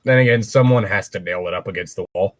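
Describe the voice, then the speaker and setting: A man's voice: sits low, quick pace, no room sound. A man reading fan fiction aloud casually and breaking in with sarcastic, crude wisecracks. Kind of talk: casual read-aloud with joking commentary.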